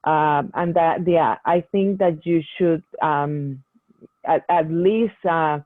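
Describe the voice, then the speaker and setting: A woman's voice: low voice, slow pace, no echo. A woman medical geneticist answering patient questions in a Q&A session, explaining at length with many restarts. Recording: tinny phone-like call audio.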